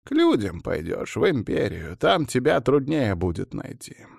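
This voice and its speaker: A man's voice: medium pitch, very animated, measured pace, vocal fry, slightly rough, dry recording, studio quality, full character voice. A man voicing a centuries-old swamp witch who is stern and defiant, speaking in commands. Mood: urgent, motherly. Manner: commanding, forceful threats.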